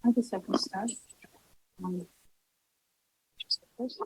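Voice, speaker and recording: A woman from Kazakhstan, a native Kazakh speaker, telling her story as a literary translator on a panel, thoughtful and pausing often. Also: medium pitch, husky, no echo, low-quality recording. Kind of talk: personal account on a panel. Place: university symposium event.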